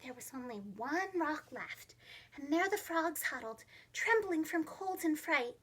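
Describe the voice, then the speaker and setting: A woman narrating a children's storybook as a preschool teacher, expressive, with simple phrasing for young children. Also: high voice, measured pace.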